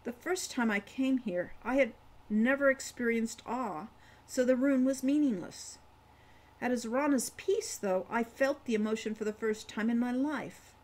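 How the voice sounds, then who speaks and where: A woman's voice: a little high, unhurried, darkish tone. A woman voicing an earnest, persistent young man in a storybook, awed by magic and eager to learn it.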